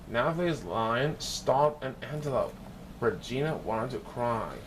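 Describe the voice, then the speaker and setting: A man's voice: mid-range voice, expressive, a little slow. Man reading a children's story, noisy recording. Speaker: storyteller reading a picture book. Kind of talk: read-aloud children's story narration.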